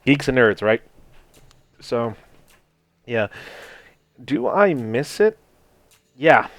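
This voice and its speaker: A young man from Hawaii casually telling a personal story over gameplay, rambling with lots of "you know" and "like" and some swearing. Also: mid-range voice. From Hawaii, United States. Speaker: gaming channel host.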